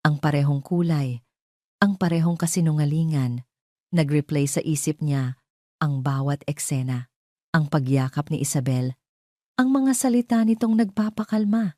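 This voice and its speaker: A woman with a Filipino accent narrates a scripted dramatic story in a measured storytelling manner. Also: sits low, dark tone, no room sound, studio quality.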